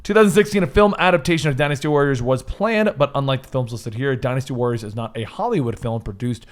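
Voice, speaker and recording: A man's voice: medium pitch, fast talker. A man co-hosting a casual list discussion, reading items out and commenting in a jokey, self-correcting way. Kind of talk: casual chat with read-out news items.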